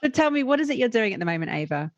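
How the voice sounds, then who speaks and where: A woman's voice: a little high, sing-song, racing, no room sound, lo-fi sound. A woman hosting a podcast, asking questions in a conversational interview style. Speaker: podcast host.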